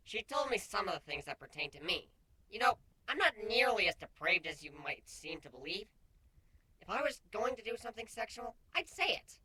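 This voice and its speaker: Man doing a smug, high, animated changeling bug. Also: normal speed, slightly rough, full character voice, low-quality recording. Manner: smug, talkative, excitable. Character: smug, cheeky male changeling bug creature.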